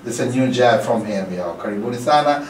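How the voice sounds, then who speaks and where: A man's voice: mid-range voice, quick pace, a little hiss or hum. A man with an East African accent hosting a radio show, stretching his fillers and repeating phrases for emphasis. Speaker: radio host. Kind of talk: radio show talk mixing English and Swahili. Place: small radio studio. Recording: roomy echo, distant from microphone.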